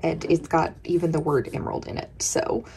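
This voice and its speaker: Hoarse young woman book vlogger, low-ish voice, unhurried casual chat, faint hiss behind. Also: level tone, dark tone. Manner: chatty, counts dice rolls aloud. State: hoarse, recovering from illness. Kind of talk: casual reading wrap-up vlog. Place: home room at night.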